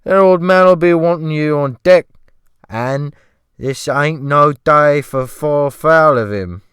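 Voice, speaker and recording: An oily, fawning ship's cook, acted by a man in an audiobook drama. The voice sits well above the reader's own and pushes out loudly. It smirks with cheerful servility. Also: fairly high pitch, takes it slowly, clean tone, no room sound, studio quality, pitched above the performer's own voice. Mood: brisk warning. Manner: ingratiating, smirking.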